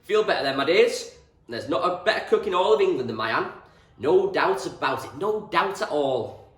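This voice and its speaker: A man's voice: fairly high pitch, lively intonation, normal speed, a bit bright, pitched above the performer's own voice. A man voicing a jovial, opinionated country farmer in a storybook, speaking emphatically and repeating himself for effect. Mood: hearty praise. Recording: roomy echo, distant from the mic.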